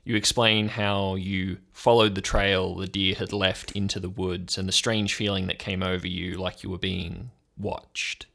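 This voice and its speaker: A man's voice: low-pitched, little pitch movement, measured pace, gravelly, few pauses, a bit bright. A man acting as game master, narrating an improvised audio drama.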